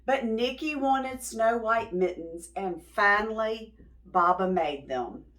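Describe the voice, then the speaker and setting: A woman reading a picture book aloud to young children, expressively, pausing to ask them questions. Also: on the high side. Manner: expressive, drawn-out words, teacherly prompting. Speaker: children's read-aloud storyteller.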